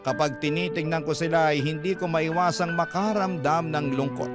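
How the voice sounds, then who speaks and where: A man's voice: fairly high pitch, moderate pace, over music, close to an everyday voice. A man with a Filipino accent narrating an aggrieved letter writer's story for a radio drama. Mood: sorrowful.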